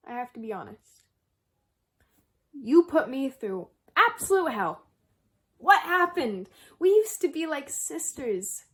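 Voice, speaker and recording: A woman's voice: on the high side, fairly bright tone. A young woman playing a hurt, angry, grieving teenage best friend in an amateur drama, raw and accusatory. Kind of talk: amateur stage drama monologue.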